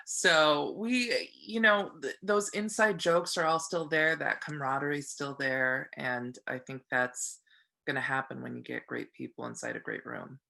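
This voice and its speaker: A woman's voice: low voice, moderate pace, gravelly, pushed and bright. A woman who works as an actor, speaking casually and reflectively in an interview.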